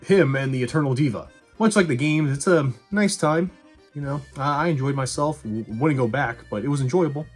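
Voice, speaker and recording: A man giving a casual movie review as a video host, blunt, conversational and quick to swear. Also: medium pitch. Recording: music behind the voice.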